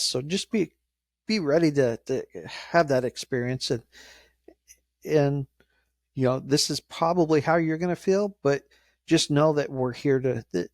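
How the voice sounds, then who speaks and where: A man giving informal interview answers as an emergency dispatcher, speaking in a measured, halting way. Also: mid-range voice, a little mellow, dry recording, clean studio sound. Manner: measured, hesitant, halting phrasing.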